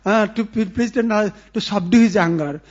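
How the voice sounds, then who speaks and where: A man's voice: high voice, lively intonation, a little fast, mellow, husky. A man voicing a worried, pleading old mother-in-law, on a dull lo-fi recording. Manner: pleading, self-abasing.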